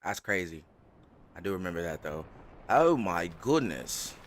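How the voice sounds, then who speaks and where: A man's voice: low voice, sing-song, a little slow. A man giving casual live reaction commentary as a streamer watching a fan dub.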